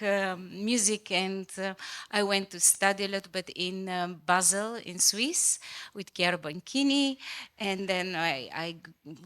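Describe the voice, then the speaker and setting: A woman tells her background in a bright voice. She pauses often and fills gaps as she talks. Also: mid-range voice. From Bulgaria. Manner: hesitant, many fillers. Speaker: baroque violinist. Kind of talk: telling her background on stage.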